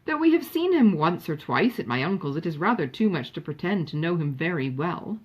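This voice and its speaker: A woman voicing a sharp, exasperated younger sister from a classic novel, reproving in manner. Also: fairly low pitch, very animated, brisk, breathy edge, muffled recording. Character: sharp, scolding younger sister correcting her sibling.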